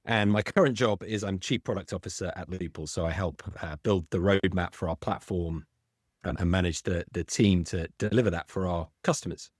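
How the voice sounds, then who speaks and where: Deep-voiced brit guest, middle-aged, explains things clearly, dry close mic. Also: male voice, a little fast, dry recording. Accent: British. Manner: articulate, explanatory, repeats small words when restarting. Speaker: guest, legal tech founder. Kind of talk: podcast interview.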